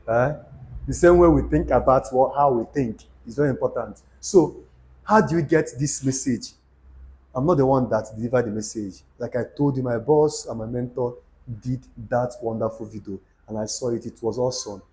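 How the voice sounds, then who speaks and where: A man's voice: medium pitch, moderate pace, darkish tone, rough recording. A young Nigerian man with an Igbo accent, talking to camera in a preachy, repetitive way and dropping Pidgin asides. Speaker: YouTube channel host. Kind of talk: motivational talk to camera.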